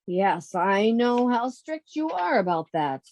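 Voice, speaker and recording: A woman's voice: fairly high pitch, big pitch swings, slow, darkish tone, no echo, exaggerated voice. A woman acting in an old-time radio comedy as a sensible, theatrically exasperated young working woman with a wry manner. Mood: friendly, concerned.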